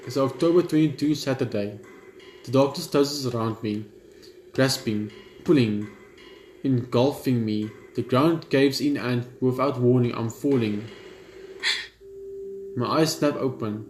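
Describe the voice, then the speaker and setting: A man gaming, reading a horror visual novel's narration aloud in a steady read, dropping blunt side remarks. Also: medium pitch, unhurried, long pauses, reverberant, slight background noise, poor audio. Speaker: gamer.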